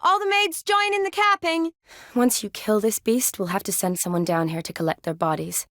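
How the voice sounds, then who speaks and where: An actress playing a young army medic in a fantasy video game, a warm, playful friend with an expressive, teasing delivery. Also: female voice, fairly low pitch, brisk, dry recording, studio quality. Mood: serious. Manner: expressive, teasing, sighs and soft chuckles.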